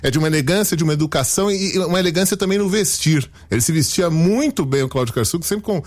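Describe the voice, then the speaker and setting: Fast-talking Brazilian radio reporter. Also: male voice, a little high, expressive, darkish tone. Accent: Brazilian Portuguese. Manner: fast, conversational storytelling. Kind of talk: recalling a colleague on air.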